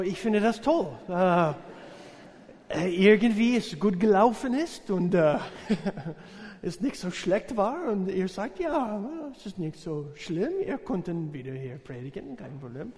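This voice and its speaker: An American man, a visiting missionary preacher, speaking a sermon in a learned language with many pauses and fillers, joking with the congregation. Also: high voice, darkish tone. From USA. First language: English. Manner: halting, searching for words, many fillers, jokes with congregation. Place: church service hall. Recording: reverberant church hall.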